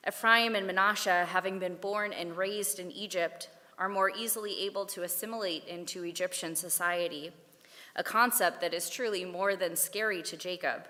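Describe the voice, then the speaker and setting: A woman giving a prepared Torah talk at a service, with a measured, deliberate delivery. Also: fairly low pitch, sharp tone. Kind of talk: prepared sermon read aloud. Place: synagogue sanctuary. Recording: long hall reverb.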